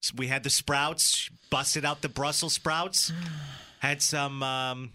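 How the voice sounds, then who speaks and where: A man's voice: mid-range voice, fairly even intonation, takes it slowly, bright, forceful tone. A man from co-hosting a radio show, easygoing. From Greece, New York. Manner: easygoing, reads out local gas prices. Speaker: radio co-host. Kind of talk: casual morning radio chat.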